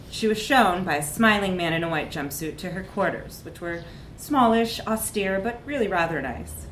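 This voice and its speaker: A woman's voice: lowish voice, a bit bright, echoey room, a little hiss or hum, poor audio. A woman reads a comic short story aloud at a live event, with wry, emphatic narration. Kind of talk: animated literary narration, live reading.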